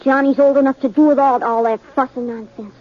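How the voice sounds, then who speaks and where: High-voiced sulky young boy. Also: steady tone, a little fast. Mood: sulky, hurt. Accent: American. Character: sulky orphaned young runaway boy on a pony. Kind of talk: 1950s radio drama acting. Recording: dull vintage 1950s radio recording.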